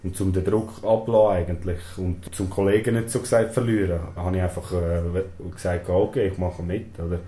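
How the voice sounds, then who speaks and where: A man's voice: deep voice, steady tone, brisk, hardly any pauses, mellow. A young man in his twenties from Switzerland with a Swiss German accent, his first language Serbian, answering interview questions hesitantly with many fillers and tag questions. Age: 20s. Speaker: prison inmate interviewee. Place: small storeroom in a prison. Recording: echoey room with faint ambience.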